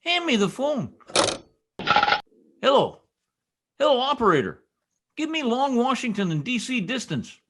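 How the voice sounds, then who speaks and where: Guy doing old radio comedy husband, blustery and boastful, folksy talk, really animated high voice. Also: unhurried, long pauses, very noisy, heavily acted. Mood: frantic excitement. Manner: folksy, blustering speech with quaint exclamations. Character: blustery, excitable, boastful small-town husband, folksy skeptic. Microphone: video call microphone. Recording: video call recording.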